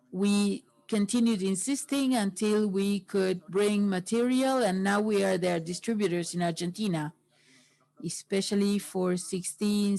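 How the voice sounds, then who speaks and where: Woman, interpreter, slow halting delivery, mid-pitched voice. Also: a little mellow, poor audio.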